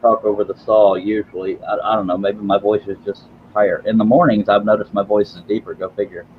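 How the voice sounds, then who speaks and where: Homme bavard, voix grave, son un peu étouffé. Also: male voice, lowish voice, flat delivery, brisk, narrow, tinny audio, a little hiss or hum. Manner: rambling, self-deprecating, stumbles and repeats words. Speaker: host of a woodworking live stream. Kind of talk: casual live-stream chat while working.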